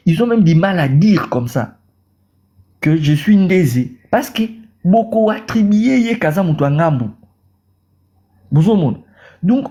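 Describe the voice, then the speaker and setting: A man with a Congolese French accent makes a fervent political case. His voice is dark and mellow, and he stumbles over repeated words as he presses his point. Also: fairly high pitch. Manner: repeats words, mixes in Lingala. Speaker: caller in a debate. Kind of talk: impassioned political talk.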